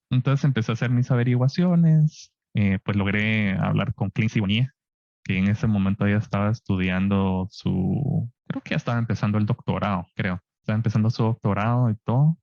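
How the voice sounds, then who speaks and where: A man in his thirties from Guatemala with a Guatemalan Spanish accent, telling his story in a relaxed, chatty interview with frequent tag questions. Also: fairly low pitch, no echo. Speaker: guest scientist. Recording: dull lo-fi dry podcast audio.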